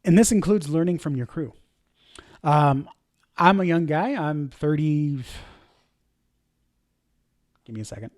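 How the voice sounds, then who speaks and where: A man's voice: on the high side, unhurried, pauses often, a little mellow, dry recording, clean studio sound. A man in his thirties, a church media director, teaching a leadership lesson in an informal, conversational way, with fillers and self-corrections. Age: 30s.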